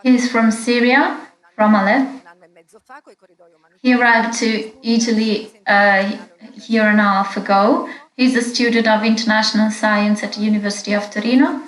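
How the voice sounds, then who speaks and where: A woman interpreting into English for a webinar, speaking in a steady, measured way with small fillers. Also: a little high, echoey room, rough recording. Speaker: interpreter and moderator.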